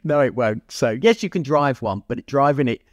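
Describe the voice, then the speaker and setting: A man's voice: medium pitch, animated, moderate pace, dry recording, dull audio. A man co-hosting a podcast, talking sport casually and emphatically, repeating phrases and posing rhetorical questions. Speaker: podcast co-host.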